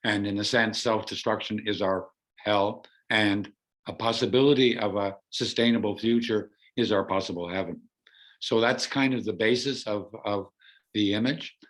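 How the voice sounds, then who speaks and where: A Canadian artist speaks over a video call, his voice hoarse from laryngitis. He sits a little low in pitch and explains his work in an earnest, explanatory way. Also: fairly even intonation, moderate pace, a bit bright. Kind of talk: presenting artwork on a video call.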